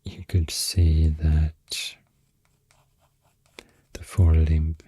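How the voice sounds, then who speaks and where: A man with a Swedish accent softly rambling through an ASMR explanation, breathy and often near a whisper, soothing and hesitant in manner. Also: a bass voice, flat delivery, very slow, dry recording. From Sweden. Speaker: ASMR host.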